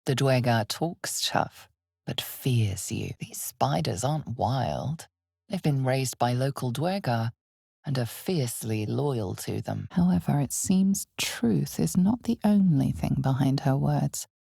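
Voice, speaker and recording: A woman playing an ominous, knowing fantasy game narrator, with measured, theatrical delivery and a breathy, husky voice that often drops toward a whisper. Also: very deep voice, lively intonation, dark tone, no echo, studio quality, exaggerated voice. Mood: solemn, knowing. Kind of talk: dramatic second-person fantasy narration.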